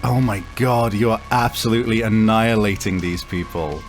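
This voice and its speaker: A man improvising narration as game master of a tabletop game, thinking aloud haltingly as he describes the action and the rules. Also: fairly low pitch, faint music behind.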